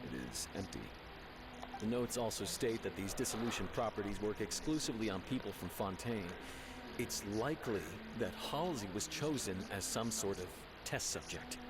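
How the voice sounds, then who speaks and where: A dutiful male guard acted by a man with a fairly low voice. He reports formally and officially in video game style. There is loud noise behind him. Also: moderate pace, natural voice. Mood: official, urgent.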